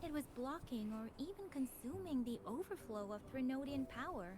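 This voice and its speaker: A woman voicing an earnest young female warrior in a video game, explaining things in a measured, patient way. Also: high voice, lively intonation, noisy background. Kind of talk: video game dialogue, expository.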